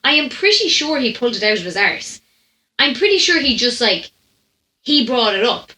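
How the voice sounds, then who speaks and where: A young Irish woman, a podcast host, telling casual comic anecdotes in a chatty, animated way. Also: fairly high pitch, normal speed. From Ireland. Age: young adult. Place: indoor room at home. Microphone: condenser microphone on stand, close. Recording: roomy echo.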